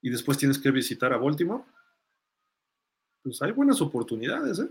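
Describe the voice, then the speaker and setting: A man's voice: medium pitch, big pitch swings, moderate pace, darkish tone. A man hosting a live sports fan podcast, chatting casually and thinking aloud as he gives his takes and answers listener comments.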